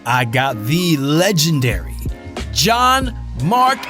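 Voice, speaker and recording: A man's voice: fairly high pitch, sing-song, slow, over music. A man playing an upbeat, slangy radio DJ host in an audio drama, showy and breezy. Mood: hyped, friendly. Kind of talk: scripted audio drama, radio show banter.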